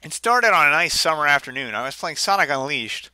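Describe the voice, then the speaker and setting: A man with a very bright, forceful voice reads aloud and comments casually in a game stream. His delivery swings widely in pitch, sarcastic and exasperated, and the sound is close and dry. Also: expressive, brisk, no room sound. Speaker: video game streamer reading a story aloud. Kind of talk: mocking dramatic reading with casual commentary.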